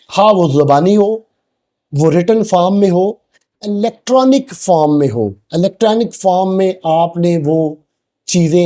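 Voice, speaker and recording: A man with a Pakistani accent giving a law lecture, explaining slowly and deliberately, dropping English legal phrases between his sentences. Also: a little high, dark tone.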